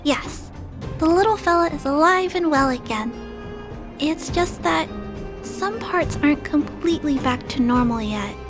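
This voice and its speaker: A woman voicing a gentle, earnest young girl in a video game, polite and careful in her speech. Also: high-pitched, lively intonation, unhurried. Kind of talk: scripted game cutscene dialogue. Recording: music behind.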